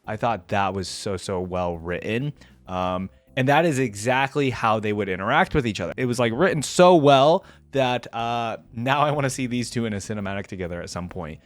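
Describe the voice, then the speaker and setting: A man's voice: on the low side, expressive, moderate pace, no echo. A man hosting a casual game reaction video, talking in an informal, slangy, effusive way. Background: music.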